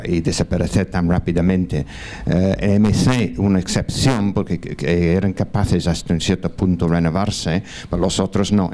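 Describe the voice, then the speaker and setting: A man in his sixties speaks from the floor of a large lecture hall, holding a handheld mic. His voice sits low, and his Spanish, not his first language, stumbles along with frequent fillers and restarts. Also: mellow, a little hiss or hum. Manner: hesitant, many fillers and restarts. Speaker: audience member at a conference. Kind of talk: question from the floor to a panel. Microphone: handheld mic, standing in the audience.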